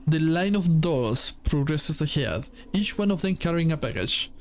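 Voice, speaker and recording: A man's voice: fairly high pitch, expressive, moderate pace. A man playing a visual novel, reading its text aloud as he goes. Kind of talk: game let's play, reading dialogue aloud.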